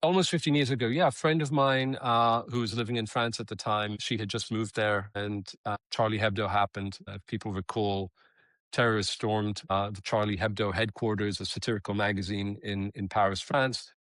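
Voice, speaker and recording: A middle-aged man from Israel, a copywriter and advocate, telling his story in an interview in an earnest, steady way with frequent restarts. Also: lowish voice, normal speed, no echo. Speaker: guest, creative copywriter and advocate.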